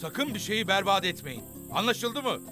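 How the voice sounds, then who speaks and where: A man's voice: sits high, sing-song, moderate pace, over music. An actor playing a stern, suspicious man who gives probing orders in a children's cartoon. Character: stern, suspicious elder brother figure giving orders. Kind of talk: animated children's series dubbing.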